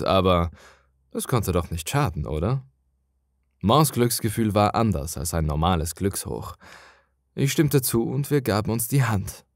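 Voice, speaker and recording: A man's voice: fairly low pitch, no echo, studio quality. A man reading a scripted first-person horror story as a dramatic narrator.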